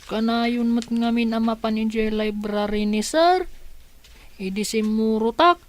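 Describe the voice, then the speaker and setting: An actress playing a naive young niece in a radio drama, anxious and full of plaintive questions. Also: female voice, on the high side, unhurried, a little hiss or hum, close to an everyday voice. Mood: anxious, questioning.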